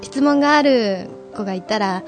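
A woman's voice: fairly high pitch, moderate pace, soft background music, natural voice. A woman playing a blunt, exasperated and bossy bar customer in an improvised comic skit. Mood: grudging, haughty. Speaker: voice actress, podcast co-host.

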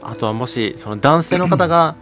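Polite, lively-pitched man playing a bar keeper. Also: normal speed, over music, natural voice. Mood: earnest, explanatory. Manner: polite, hesitant, deferential, explanatory. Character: polite, apologetic male bar keeper dispensing odd advice. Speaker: podcast co-host. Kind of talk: improvised comic advice skit.

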